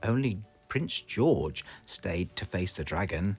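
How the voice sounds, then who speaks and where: A man with a British accent reading a children's storybook aloud in a slow, expressive, sing-song way. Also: deep voice, faint music behind. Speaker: storybook reader.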